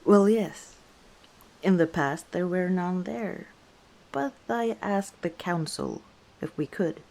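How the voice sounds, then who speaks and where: Woman playing a low, mellow, teasing orc woman. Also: vocal fry. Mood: chatty, confiding. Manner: unhurried, playful teasing with frequent chuckles. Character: easygoing, teasing female orc tavern owner. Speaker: ASMR roleplay performer. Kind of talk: ASMR roleplay, one side of a conversation.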